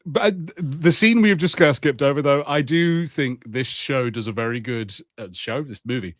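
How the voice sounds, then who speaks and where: A man's voice: medium pitch, moderate pace, fairly bright tone, no room sound. Wry man in dry, close podcast sound. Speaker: podcast co-host. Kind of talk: casual film banter.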